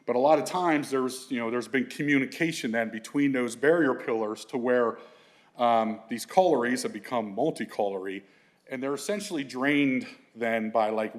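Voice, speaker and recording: A middle-aged man, presenting slides to an audience in a loose, conversational way with lots of fillers and restarts. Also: mid-range voice. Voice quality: big voice. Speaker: environmental consultant presenting to an audience.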